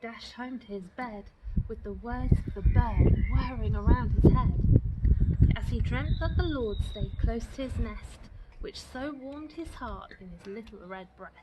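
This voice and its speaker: A woman reading a rhyming children's story aloud in an expressive, dramatic, deliberate storytelling style. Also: fairly high pitch, muffled recording, very noisy. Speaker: storytime reader.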